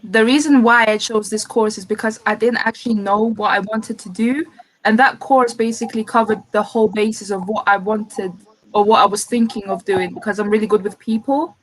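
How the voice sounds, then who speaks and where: A woman's voice: medium pitch, fairly even intonation, fairly quick, low-quality recording. A woman in her twenties from Greece, a guest chatting casually and laughing often. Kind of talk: casual chat.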